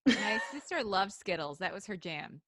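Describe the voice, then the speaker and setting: A woman's voice: mid-range voice, lively intonation, moderate pace, a bit bright, husky. A woman chatting casually as a podcast co-host. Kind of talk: casual chat.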